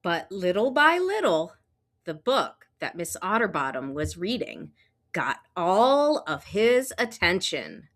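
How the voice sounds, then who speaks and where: A woman reading a children's picture book aloud, with expressive, drawn-out storytelling and sound effects. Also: fairly low pitch. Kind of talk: animated children's read-aloud narration.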